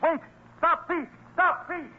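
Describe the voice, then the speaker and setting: A man's voice: very high voice, fairly even intonation, takes it slowly. A man acting a hesitant, earnest middle-aged father in an old-time radio drama. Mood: alarmed, shouting. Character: troubled father with a gambling weakness, excitable yet merciful. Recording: tinny, noisy old radio recording.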